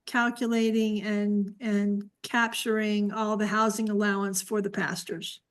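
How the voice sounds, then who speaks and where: A woman's voice: medium pitch, little pitch movement, unhurried. A woman presenting an informal briefing and answering questions as she goes.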